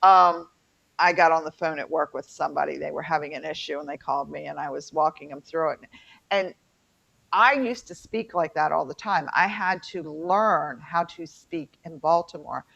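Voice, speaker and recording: A woman's voice: sits low, normal speed, creaky, fairly bright tone. A middle-aged American woman with a twang, chatting casually and jokingly as a folksy co-host of a talk show. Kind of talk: casual chat. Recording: dull lo-fi sound.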